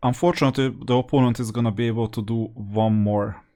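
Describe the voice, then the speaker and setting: A man's voice: lowish voice, steady tone, fast, dark tone. Man giving casual gameplay commentary. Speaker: host of a gaming strategy channel.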